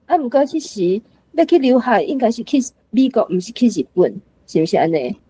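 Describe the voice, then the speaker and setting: A woman's voice: mid-range voice, sing-song, a little fast, dark tone, husky, no echo, poor audio. A woman with a Taiwanese accent asking questions in a book discussion, in a tentative, searching manner. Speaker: reading-club panelist posing questions.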